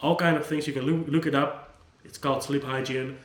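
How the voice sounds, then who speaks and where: A man whose first language is Dutch speaks in an earnest, conversational way, often restarting his sentences. His voice sits at a middle pitch for a man. The room around him has a noticeable echo. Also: steady tone, fairly quick, background noise. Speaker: psychologist, channel host. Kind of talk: unscripted talk to camera.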